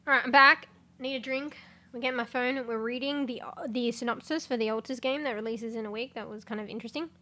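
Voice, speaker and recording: Woman, fairly high voice, stuffy and congested, rambling stream chat, swears casually. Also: fairly even intonation, fast talker. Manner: rambling, casual swearing, sudden loud exclamations. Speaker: livestreamer.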